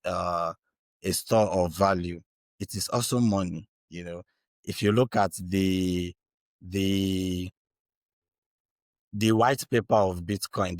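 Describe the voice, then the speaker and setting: A man's voice: low-pitched, no echo. A man from Nigeria with a Nigerian accent and Igbo as his first language, explaining earnestly in conversation and often checking in with small tags. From Anambra State, Nigeria. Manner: earnest, checks in with tags like "right" and "you know". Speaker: interview guest, Bitcoin educator.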